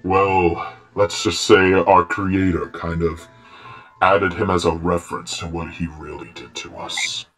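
A man's voice: low voice, flat delivery, measured pace. A man playing a bossy animatronic bear who leads a band, authoritative and exasperated, in an amateur game roleplay. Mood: solemn. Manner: authoritative, exasperated with his bandmates. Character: bossy animatronic bear, male leader and star performer. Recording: loud music under the voice.

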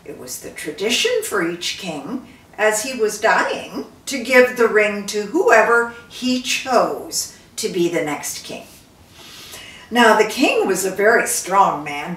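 Older lady reading a tale out loud, takes her time, mic sounds far off. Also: mid-range voice. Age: older, 60s or 70s. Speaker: storyteller, reader. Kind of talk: expressive read-aloud storytelling. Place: living room by a fireplace. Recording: roomy echo, distant microphone.

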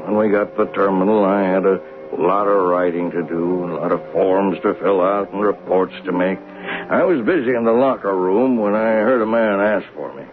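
An actor playing a plain-spoken working train conductor in a 1970s radio drama, in a conversational storytelling way. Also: male voice, deep voice, normal speed, phone-quality sound, soft background music, natural voice. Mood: recounting, sober. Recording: noisy, band-limited vintage radio broadcast.

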